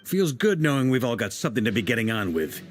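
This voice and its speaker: A man's voice: medium pitch, sing-song, quick pace, faint music behind, natural voice. An actor voicing a composed male leader in a game, wry and commanding as he assigns tasks. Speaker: leader planning the team's next moves.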